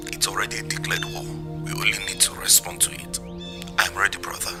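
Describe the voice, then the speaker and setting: A man with a Nigerian accent playing a struggling man who seeks help, hesitant and breathy, in a gospel audio drama. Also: sits low, steady tone, brisk, over music, natural voice. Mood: growing resolve. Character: downcast, struggling man seeking his brother's help.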